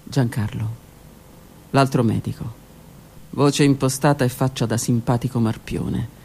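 A middle-aged woman, a stage actress, performing a dramatic monologue that swings from hushed whispers to raised declaiming. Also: extremely low pitch, steady tone, unhurried, a little hiss or hum. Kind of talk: theatrical monologue. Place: radio studio.

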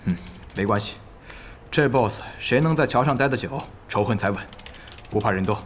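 A man's voice: mid-range voice, moderate pace, slight background noise, close to an everyday voice. An actor playing a hot-tempered, bossy male gaming guild president in a Chinese audio drama. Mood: stern, composed. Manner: bossy, excitable, swings from calm to outbursts.

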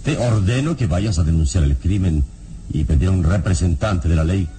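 A very deep, dark-voiced heroic adventurer acted by a man from an old radio serial. He is calm and authoritative, with lively melodic swings, over background music. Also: close to an everyday voice. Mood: commanding. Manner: solemn, deliberate, authoritative.

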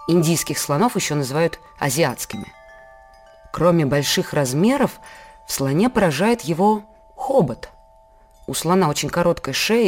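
A woman playing a patient mother, giving measured, scripted educational storytelling to her child. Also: low-pitched, a little mellow.

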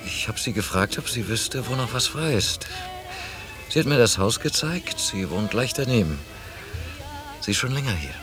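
A man's voice: medium pitch, animated, normal speed, close to an everyday voice. An actor playing a dry, teasing, mildly impatient male partner in naturalistic German radio drama dialogue. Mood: defensive. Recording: 1990 radio drama from cassette, music behind.

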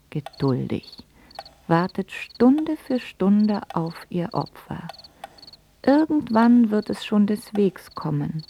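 A woman's voice: on the low side, vocal fry, dark tone, a little hiss or hum, heavily acted. A woman playing a brooding, prickly grown daughter who narrates her own story in a radio drama with dry, clipped delivery. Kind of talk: first-person radio drama narration and acting.

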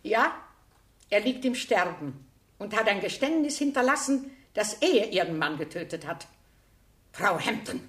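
A woman's voice: medium pitch, lively intonation, measured pace, reverberant, natural voice. An actress playing a persistent, shrewd older woman detective in a radio mystery, pointed and emphatic. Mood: grave. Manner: probing, pointed, theatrically emphatic. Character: shrewd, persistent older female amateur sleuth. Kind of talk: German radio crime drama acting.

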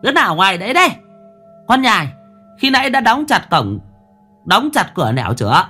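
A shrill older woman barks threats and curses in a high, piercing voice that lurches all over the scale, as a male storyteller puts her on. She is bullying and hot-tempered, the tyrant of a ghost story. A soft band of music runs underneath. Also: big pitch swings, brisk, breathy edge, exaggerated voice. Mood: furious. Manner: scolding, barking threats.

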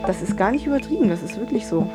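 A woman's voice: mid-range voice, expressive, quick pace. Thoughtful woman talking over music. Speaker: interviewee talking about manga. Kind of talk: casual explanatory talk.

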